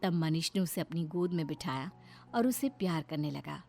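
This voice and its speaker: A woman's voice: sits low, expressive, moderate pace, gravelly, music in the background. A woman giving scripted narration of a story.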